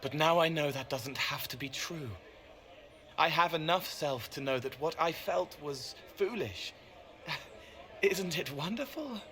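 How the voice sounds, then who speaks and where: An actor playing a gentle, troubled young man with a hesitant, wistful manner, in a video game cutscene. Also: on the high side, animated, soft background music. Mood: quiet wonder. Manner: hesitant, halting, plain-spoken and wistful. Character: gentle, troubled young man, once a spirit, childlike and haunted.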